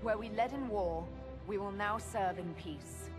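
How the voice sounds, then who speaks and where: A woman's voice: medium pitch, unhurried, over music, natural voice. An actress playing a principled, earnest woman commander in a fantasy game, speaking firmly. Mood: rallying, resolute. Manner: firm, declarative. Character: resolute female military leader, principled and earnest. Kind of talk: dramatic video game dialogue. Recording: loud game score under the voice.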